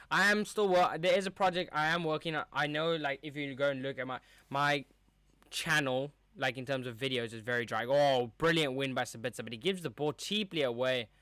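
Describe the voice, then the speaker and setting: Bright, crisp young man streaming a match. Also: mid-range voice, no echo. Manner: rambling, chatty, reacts to play as it happens. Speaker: streamer hosting a match watchalong. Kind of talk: casual live match commentary. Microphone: streaming mic with a cover on top.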